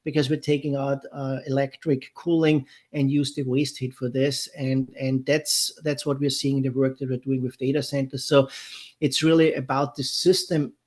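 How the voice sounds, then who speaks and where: A man's voice: mid-range voice, little pitch movement, normal speed, a little mellow. A man from Europe with a German accent, giving an unscripted technical lecture in a conversational way, with many fillers and restarts. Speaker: webinar presenter. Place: San Diego, California.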